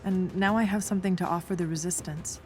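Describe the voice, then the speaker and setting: A woman's voice: lowish voice, steady tone, fast talker, darkish tone, faint music behind, lightly acted. A woman voicing a tough, smart young scientist in a video game, dry and matter-of-fact. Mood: reflective, resolved. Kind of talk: video game voice acting, naturalistic dialogue.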